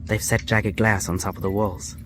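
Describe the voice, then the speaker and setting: An actor playing a worried young son in prison in a radio drama, speaking earnestly and somberly. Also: male voice, fairly low pitch, little pitch movement, normal speed, over music, lightly acted. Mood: somber, reflective. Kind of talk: radio drama acting with letter narration. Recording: clear ambient noise behind the voice.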